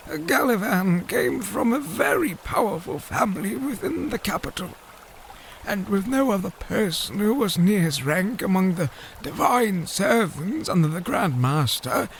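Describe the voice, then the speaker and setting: Gravelly old fish creature sage, high voice, formal and long-winded, audio drama, bit of background noise. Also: male voice, fairly bright tone, heavily acted. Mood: disapproving. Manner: halting, formal, ruminative storyteller. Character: elderly male fish-man scholar, wistful, long-winded.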